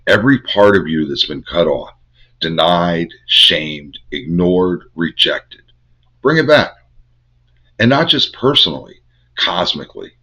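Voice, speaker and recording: An older man with a head cold, hosting a Bible study and teaching in measured, incantatory short phrases. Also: deep voice, sharp tone, breathy edge. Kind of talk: spiritual teaching monologue. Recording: dull lo-fi recording.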